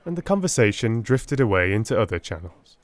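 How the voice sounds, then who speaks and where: Expressive male audiobook narrator. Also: fairly low pitch, brisk, no room sound. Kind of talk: dramatic first-person scripted narration of a Victorian story.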